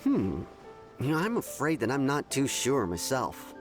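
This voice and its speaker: A man's voice: medium pitch, wildly swooping pitch, a little slow. A man voicing a reserved, observant man in a video game who speaks in a thoughtful, polite way. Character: measured, observant man keeping an eye on the city. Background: game music.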